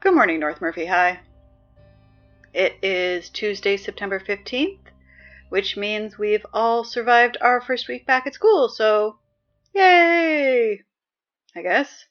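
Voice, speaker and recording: A woman playing a weary, sardonic high school morning announcer in a scripted audio drama. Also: slow pace, long pauses, lo-fi sound, soft background music, natural voice. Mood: wry, reluctant. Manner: sardonic, deadpan, exasperated asides.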